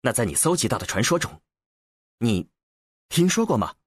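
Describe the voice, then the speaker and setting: Man voicing a brusque, husky space explorer. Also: mid-range voice, very animated, normal speed, no room sound, clean studio sound, voice pushed down. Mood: pressing, commanding. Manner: skeptical, commanding, sardonic. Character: brusque, impatient, sardonic male space explorer. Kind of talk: acted audiobook dialogue.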